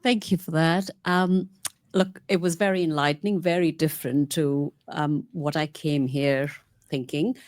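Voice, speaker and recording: Woman, Indian English accent, low pitch, hesitant and halting, dry recording. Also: fairly even intonation, darkish tone, no room sound. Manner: hesitant, many fillers. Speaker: audience member asking a question. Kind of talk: hesitant audience question.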